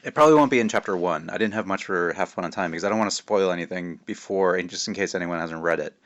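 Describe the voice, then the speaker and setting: A man's voice: low voice, racing, fairly bright tone, dull audio. A man speaking as a children's author, informally reading a storybook aloud with modest asides. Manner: self-deprecating, informal.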